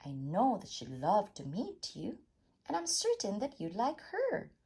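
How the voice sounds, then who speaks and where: Lady doing a sly polite young girl duck from a kids book, coaxing, very animated. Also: mid-range voice, measured pace, breathy edge, pitched above the performer's own voice, exaggerated voice. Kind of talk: storybook character voice.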